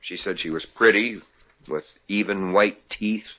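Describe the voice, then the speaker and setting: A man's voice: lowish voice, measured pace, bright, forceful tone. An actor playing a grumbling, sarcastic young salesman in a 1950s radio comedy. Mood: sulky. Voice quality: sardonic, grumbling. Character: grumpy young salesman boyfriend. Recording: dull lo-fi old radio transcription.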